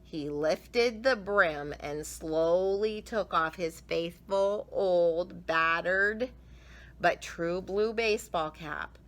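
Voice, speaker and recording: A woman reading a picture book aloud to children, expressively, with drawn-out emphasis. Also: fairly low pitch, fairly bright tone. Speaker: children's storyteller.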